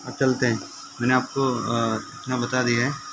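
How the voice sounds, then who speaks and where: A man presents an informal repair tutorial in a mid-pitched voice. He explains things hands-on and keeps checking that viewers follow. The amateur recording has clear background noise. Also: flat delivery, a little slow.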